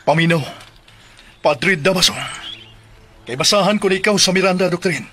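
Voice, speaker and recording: An actor playing an earnest, righteous young police lieutenant in a radio drama, declaiming emphatically with a Filipino accent. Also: male voice, high-pitched, moderate pace, a bit bright, slight background noise. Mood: firm, resolute. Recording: music behind the voice.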